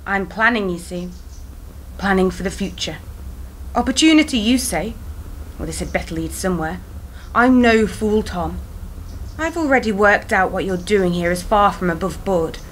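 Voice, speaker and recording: A woman's voice: medium pitch, animated, moderate pace, long pauses, a little hiss or hum, natural voice. Woman acting a defiant, haughty young woman. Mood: shrewd, defiant. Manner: snippy, pointed. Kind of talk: radio play acting.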